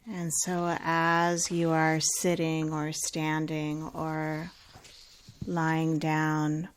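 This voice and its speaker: Female meditation guide, low register, very slow delivery, calm and unhurried, gentle instruction with generous pauses. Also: smooth.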